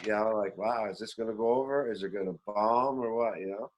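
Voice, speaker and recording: A Canadian man in his seventies telling rambling, animated stories in a casual, reminiscing way as an interview guest. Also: fairly low pitch, fairly quick. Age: 70s. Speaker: veteran musician, interview guest. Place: remote online call.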